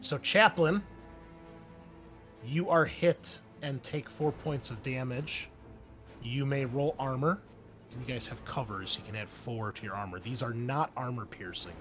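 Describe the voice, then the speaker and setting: A man acts as game master for a tabletop session, with a mid-range voice over soft background music. He explains the action casually, slipping in wry asides and the odd swear word. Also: mid-range voice, moderate pace. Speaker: game master and host of an actual-play show.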